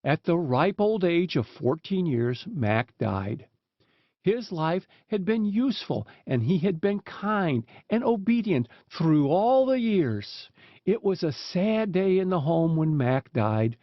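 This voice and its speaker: A man's voice: a little high, no room sound. A man reading a short story aloud as an audiobook narrator, in scripted storybook narration on a dull, lo-fi recording.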